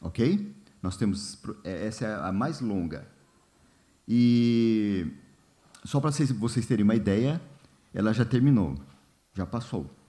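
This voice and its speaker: A man leading a church Bible study in a relaxed, informal way, often pausing with fillers and asking the congregation questions. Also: fairly low pitch. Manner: unhurried, many fillers and "né?", asks the congregation questions. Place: church hall.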